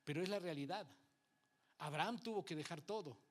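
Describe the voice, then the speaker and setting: A man's voice: a little high, fairly quick. A man from Uruguay, a pastor, teaching a Bible class in church in Uruguayan Spanish with some Mexican influence, talking with the congregation and acting out what people say. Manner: conversational preaching with frequent rhetorical checks and acted-out quotes.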